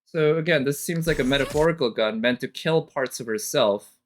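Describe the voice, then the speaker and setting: A man's voice: mid-range voice, brisk, slight background noise. A man giving casual, off-the-cuff reaction commentary as a streamer, thinking aloud analytically with casual swearing.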